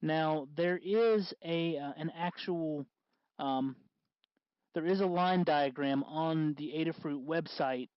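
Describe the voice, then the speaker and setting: A man hosting an informal hands-on electronics tutorial, explaining step by step in a halting, folksy way. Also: a little high, clean tone, no echo, lo-fi sound. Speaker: tutorial host.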